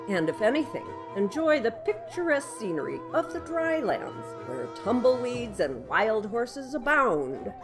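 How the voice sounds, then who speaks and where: A woman playing a kooky, folksy, alien-obsessed shopkeeper who pitches theatrically in a parody local radio commercial. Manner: theatrical, folksy, dramatic salesmanship. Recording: music bed under the ad.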